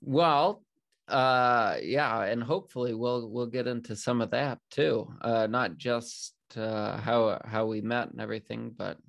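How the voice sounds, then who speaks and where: A man's voice: medium pitch, a little slow, creaky, fairly bright tone, dry recording. A young man hosting a faith podcast, speaking earnestly in casual talk, often restarting sentences and using fillers. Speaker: podcast host.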